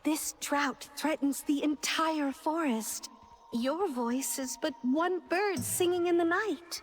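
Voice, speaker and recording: A woman's voice: sits high, slow, soft background music. A woman voicing a small, mischievous yet earnest female faerie in a fantasy game, with a lilting theatrical delivery. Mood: grave, earnest.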